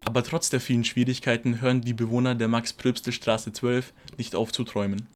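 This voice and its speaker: Guy reporter reading a scripted radio-style report, average pitch. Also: flat delivery, fairly quick.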